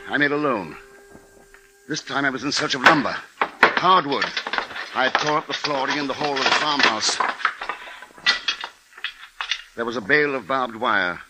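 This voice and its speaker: An actor playing a grave husband and father in a 1950s radio drama, speaking in a measured, deliberate way. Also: mid-range voice, close to an everyday voice, poor audio. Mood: urgent, driven. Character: grim, capable husband and father narrating a siege. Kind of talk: 1950s radio drama acting and first-person narration. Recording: 1950s radio recording with music underscore.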